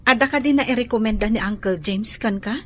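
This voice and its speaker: An actress playing a hopeful, concerned young wife in a radio drama, with an Ilocano accent. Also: female voice, fairly high pitch, fast, a bit bright, close to an everyday voice. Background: music.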